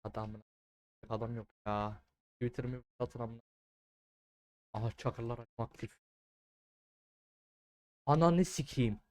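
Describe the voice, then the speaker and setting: A young man doing casual, slangy game commentary, swearing freely and repeating words in excited bursts. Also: mid-range voice, slow pace, long pauses, dry recording. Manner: foul-mouthed, slangy. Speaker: gaming streamer.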